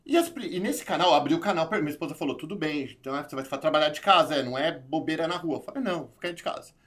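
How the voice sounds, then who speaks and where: A man from Brazil with a São Paulo accent, a guest in an interview, telling his story in an animated, casual way with frequent restarts. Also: a little high. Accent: São Paulo Brazilian Portuguese. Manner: animated, talks in quick bursts with many restarts. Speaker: interview guest, YouTube channel creator.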